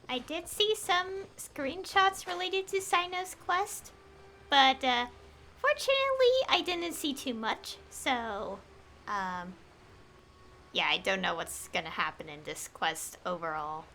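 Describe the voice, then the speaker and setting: A woman's voice: sits high, lively intonation, slow pace, sharp tone, slight background noise. A woman giving casual livestream game commentary, hesitant and thinking aloud. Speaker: game streamer.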